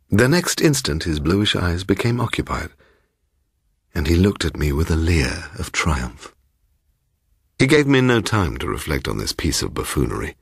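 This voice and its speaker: A man narrating a first-person ghost story as a dramatic audiobook, measured and literary in manner. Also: low voice, gravelly, slightly rough, dry recording, studio quality.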